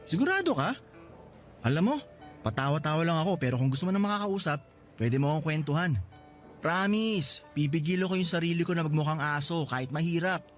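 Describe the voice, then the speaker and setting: A man's voice: fairly high pitch, expressive, fairly quick, faint music behind, natural voice. An actor playing a cheerful, joking young male coworker in a radio drama, teasing and boasting playfully. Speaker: Lester.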